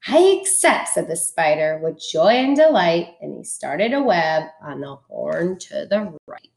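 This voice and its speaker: A woman's voice: low-pitched, animated, unhurried, vocal fry, darkish tone. A woman reading a children's storybook aloud expressively, like a schoolteacher.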